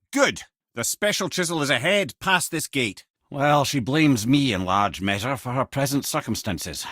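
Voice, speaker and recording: An actor playing a clever, wry old storyteller sage in a video game, with a Scottish accent and a theatrical, lilting, erudite delivery. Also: male voice, lowish voice, fairly bright tone, breathy edge, dry recording, clean studio sound, exaggerated voice. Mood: wry, genial.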